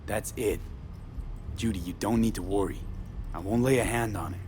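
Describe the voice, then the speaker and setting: Game lead: man playing terse, determined mercenary, lowish register, matter-of-fact, noisy ambience. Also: moderate pace, darkish tone, natural voice. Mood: businesslike. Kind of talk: video game drama acting.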